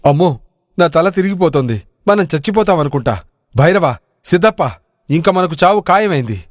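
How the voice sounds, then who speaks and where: A man voicing an excitable, loyal village adventurer in an animated moral story, with a dramatic delivery. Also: fairly high pitch, normal speed, dry recording, studio quality, natural voice. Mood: fearful.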